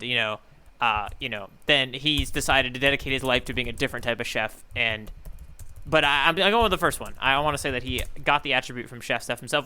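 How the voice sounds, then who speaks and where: Bright, clear man thinking aloud on a podcast. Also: medium pitch. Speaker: podcast co-host, newcomer reader giving theories. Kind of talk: casual podcast discussion.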